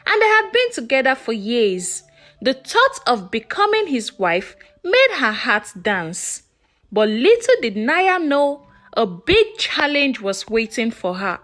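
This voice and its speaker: A woman telling a scripted folktale as a storyteller, in a measured, expressive and dramatic way. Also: sits high. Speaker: storyteller narrator.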